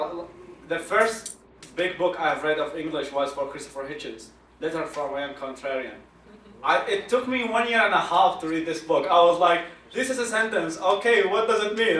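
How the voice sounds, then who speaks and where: A young man with an Iraqi Arabic accent fields audience questions, his fairly high voice stumbling and repeating words. He sits far from the mic in an echoey room with faint ambient hum behind. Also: fairly bright tone. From Iraq. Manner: halting, repeats words, laughs often. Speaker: guest speaker. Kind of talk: Q&A at a talk.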